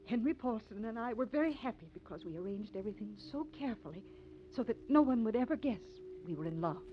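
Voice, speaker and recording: A murderous, unbalanced widow played by a woman in a 1950s radio play, her weary, sweet voice swinging widely in pitch. The old recording is muffled, with noise underneath. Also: lively intonation, quick pace, natural voice. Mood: confessing. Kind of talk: 1950s radio drama acting.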